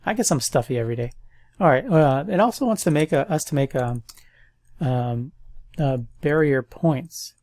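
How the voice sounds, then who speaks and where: A man's voice: a little high, normal speed, dry recording, studio quality. A man with a stuffy nose, casually thinking aloud as he walks through a coding tutorial. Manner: thinks aloud, relaxed and chatty. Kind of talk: casual screen-recorded coding walkthrough.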